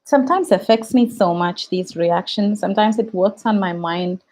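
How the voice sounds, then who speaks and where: A woman's voice: mid-range voice, normal speed, a little mellow. A woman with an Indian English accent and Tamil as her first language, chatting reflectively on a live stream as a YouTube host, with fillers and restarts. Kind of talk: casual live-stream chat.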